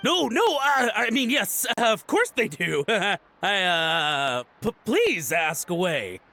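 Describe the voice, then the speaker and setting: An actor voicing a nervous, groveling middle-aged antique collector in a video game, speaking in a theatrical, fawning manner. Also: male voice, high-pitched, very animated, takes it slowly, bright, forceful tone, soft background music, full character voice. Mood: flustered. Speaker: game character.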